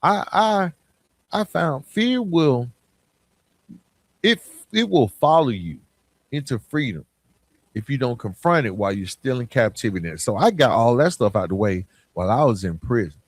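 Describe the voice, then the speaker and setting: A man's voice: medium pitch, expressive, moderate pace, no echo. An American man hosting a podcast, speaking in a casual motivational monologue, emphatic and colloquial, putting questions straight to the listener. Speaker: podcast host.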